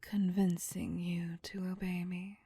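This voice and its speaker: A woman's voice: lowish voice, flat delivery, mellow, no room sound, heavily acted. A woman playing a seductive, possessive female vampire in a whispered ASMR roleplay, with a teasing, domineering manner. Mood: commanding, smug. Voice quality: breathy, whispery. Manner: slow, teasing, domineering, purring.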